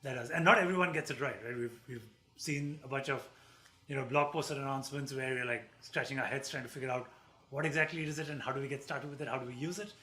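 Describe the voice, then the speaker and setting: Bright-voiced male co-host. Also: mid-range voice, fast. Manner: asks long winding questions with fillers. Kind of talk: conversational livestream interview.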